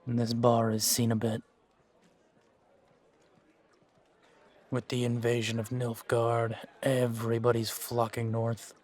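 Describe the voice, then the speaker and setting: A man playing a gruff, world-weary fantasy monster hunter in an audio roleplay, wry and aloof, with a laconic, deadpan way of talking. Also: mid-range voice, normal speed, lightly acted. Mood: resigned. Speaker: voice actor in fan-made audio roleplay. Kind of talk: slow in-character audio roleplay.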